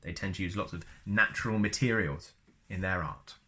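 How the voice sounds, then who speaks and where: A man's voice: low-pitched, moderate pace, pushed and bright, a little hiss or hum. A man reading a book aloud as a storyteller, chatty and often slipping into casual asides. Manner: chatty, wanders into personal asides.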